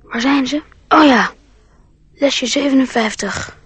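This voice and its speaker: Slow, dreamy little boy. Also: sits high, fairly bright tone, slightly rough, no room sound, full character voice. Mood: defiant, musing. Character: dreamy, earnest young schoolboy, tender and defiant. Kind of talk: radio drama acting.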